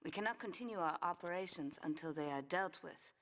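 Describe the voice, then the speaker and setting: A woman's voice: fairly low pitch, expressive, fairly quick, a bit bright. An actress playing a cold, commanding Yakuza woman boss who gives curt, dryly seductive orders in a video game cutscene. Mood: cool command.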